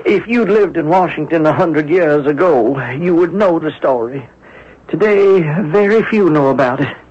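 A man playing a flustered older antique dealer in a radio drama, husky and halting, repeating his words. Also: on the high side, animated. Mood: confiding. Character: flustered, grateful antique dealer, wary and talkative. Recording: dull lo-fi 1970s radio broadcast.

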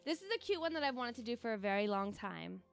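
A woman co-hosting a casual podcast, with a pitchy, congested voice, excitable and quick to swear. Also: vocal fry, a crowd in the background. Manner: excitable, laughs often, swears freely. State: congested from sinus infection. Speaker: podcast co-host. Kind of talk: casual chat.

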